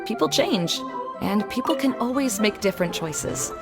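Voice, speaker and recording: A woman voicing a calm, steady young female adventurer in a video game. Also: medium pitch, moderate pace, natural voice. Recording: game cutscene with clear background music.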